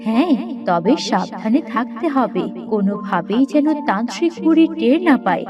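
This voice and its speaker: A woman's voice: a little high, animated, normal speed, close to an everyday voice. A woman voicing a kindly, grateful fairy in a Bangla cartoon fairy tale. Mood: cautioning. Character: kindly, grateful female fairy freed from a curse. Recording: dull lo-fi audio under loud music.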